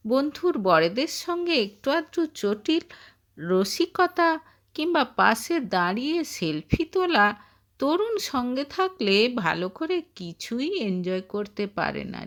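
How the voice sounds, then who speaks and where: A woman reads a short story aloud as an audio narrator. Her voice sits fairly high and moves with lively rises and falls. She takes the text very slowly, with expressive phrasing throughout.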